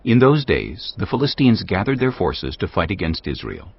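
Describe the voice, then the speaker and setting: Very deep, husky male narrator. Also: sing-song, normal speed, no room sound. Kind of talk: dramatic scripted scripture narration. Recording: muffled studio reading with faint music.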